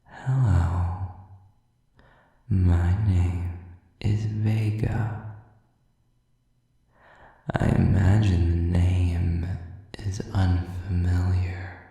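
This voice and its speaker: A man playing a sadistic, predatory demon in an intimate audio roleplay, taunting with mock politeness and breathy, drawn-out menace. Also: low-pitched, mellow, heavy reverb, recorded from a distance, heavily acted. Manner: slow, drawn-out, mockingly polite, breathy whispers.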